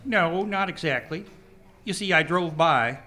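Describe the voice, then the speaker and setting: Casting: man as a flustered young suitor, bright high voice, lively. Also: a little slow. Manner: flustered, eager. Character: nervous lovestruck young man.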